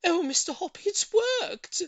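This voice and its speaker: Woman acting an excitable, shrill older lady neighbour. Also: moderate pace, no echo. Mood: thrilled. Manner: exclamatory, gushing.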